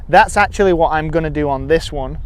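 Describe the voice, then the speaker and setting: A man with a smooth, clear voice pitched a little high teaches golf out on the course. He explains each step of the technique in an instructional way, often pausing to restate his phrases.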